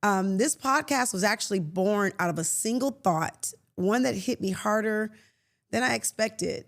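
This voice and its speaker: A woman in her late fifties hosts a faith podcast in a reflective monologue. She talks earnestly and conversationally, with soft laughs and frequent restarts. Her voice sits in a middle pitch. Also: animated, measured pace, creaky. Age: 50s. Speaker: podcast host.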